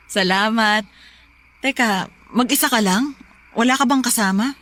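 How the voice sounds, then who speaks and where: Sharp-tongued young girlfriend. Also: a little high, a little slow. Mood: amused, teasing. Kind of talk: Tagalog radio drama acting.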